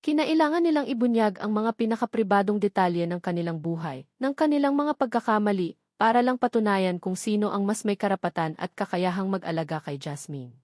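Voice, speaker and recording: A woman's voice: medium pitch, expressive, fairly quick, a little mellow, no echo. A woman narrating a dramatic audio love story in an earnest storytelling way, close to the mic.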